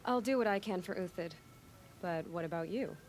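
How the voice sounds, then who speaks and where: A woman's voice: fairly low pitch, normal speed, a little hiss or hum, close to an everyday voice. A woman playing a wary, impatient young huntress in a video game, terse and skeptical. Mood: wary, direct. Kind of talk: naturalistic video game acting.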